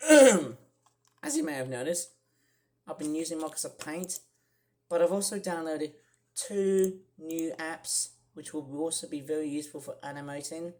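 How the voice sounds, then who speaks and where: A young man with a croaky voice, chatting casually in a rambling vlog with many fillers and restarts. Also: fairly high pitch, darkish tone. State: croaky, clearing throat. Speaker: vlogger. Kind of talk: casual screen-share vlog.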